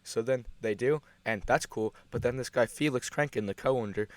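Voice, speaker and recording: A man giving casual video essay commentary in an offhand, rambling way, with deadpan humor. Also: mid-range voice, moderate pace, no room sound. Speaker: video host.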